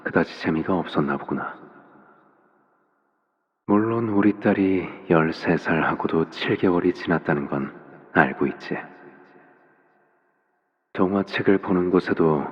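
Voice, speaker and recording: An actor playing a soft-spoken, controlling father in a video game, speaking in a gentle, deliberate, coaxing way with quiet menace. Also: low-pitched, breathy edge, highs cut off, full character voice. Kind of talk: scripted game voice acting.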